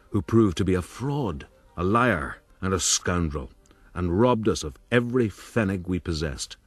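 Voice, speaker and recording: Man as deep-voiced gentleman rogue narrator. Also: close to an everyday voice. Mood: wry, rueful. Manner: measured, dryly pompous. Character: proud gentleman rogue recounting his adventures, dry and boastful. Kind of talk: dramatized scripted narration.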